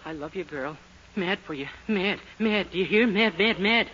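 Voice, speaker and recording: An actor playing a naive, earnest, lovesick young boarder in a 1940s radio comedy. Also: male voice, sits high, moderate pace, close to an everyday voice. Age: young adult. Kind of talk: 1940s radio sitcom acting. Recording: noisy, muffled 1940s radio recording.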